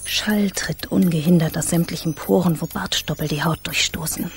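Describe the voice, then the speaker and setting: An actress in a radio play playing a high-strung, fastidious, self-absorbed woman in a breathy, half-whispered inner monologue. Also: steady tone, soft background music, natural voice. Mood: disgusted, tense.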